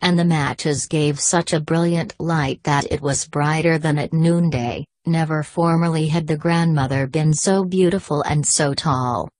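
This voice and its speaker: A woman reading a scripted children's fairy tale as a storyteller, measured and expressive. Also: low-pitched, no echo.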